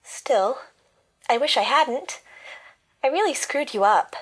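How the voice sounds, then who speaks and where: A woman's voice: high voice, animated, slow pace, a bit bright, breathy edge, heavily acted, poor audio. A woman playing an earnest, apologetic but plucky young girl in an audio drama.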